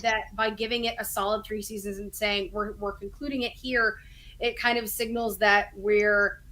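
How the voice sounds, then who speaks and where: A woman's voice: medium pitch, level tone, moderate pace, bright, forceful tone, slight background noise, poor audio. A woman in her thirties chatting casually and keenly as a guest on a podcast panel. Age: 30s. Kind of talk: casual, enthusiastic chat.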